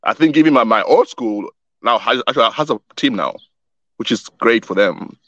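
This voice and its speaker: A man's voice: medium pitch, lively intonation, normal speed, fairly bright tone, no echo, lo-fi sound. A young man who was born in Wisconsin and grew up in Tanzania, a guest chatting casually about the youth soccer group he started, often repeating words as he thinks. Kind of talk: casual interview chat.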